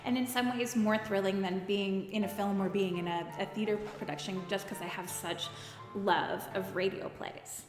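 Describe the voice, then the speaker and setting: A woman's voice: medium pitch, steady tone, normal speed. A woman talking casually and with enthusiasm in a documentary interview as a radio drama performer. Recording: roomy echoey interview with music behind.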